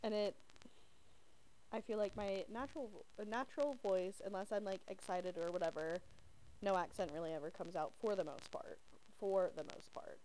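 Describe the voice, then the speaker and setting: A young woman from the southern United States co-hosts a podcast in a medium-pitched voice. Her talk is casual and conversational. The homemade recording has faint noise. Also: normal speed, warm tone. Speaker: podcast co-host. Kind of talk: casual chat. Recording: noisy amateur podcast recording.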